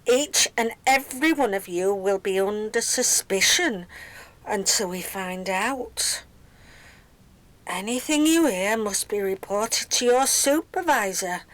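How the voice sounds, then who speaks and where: A woman playing a hesitant, nervous 1940s announcer who haltingly reads out a formal factory letter in a radio play. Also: on the high side, fairly bright tone, no room sound, poor audio. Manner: hesitant, stammering, deliberate.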